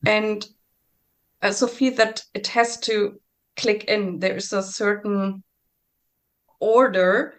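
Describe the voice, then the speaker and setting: A woman singer being interviewed, talking thoughtfully about her voice with many pauses and restarts. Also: medium pitch, steady tone. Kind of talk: reflective conversational interview.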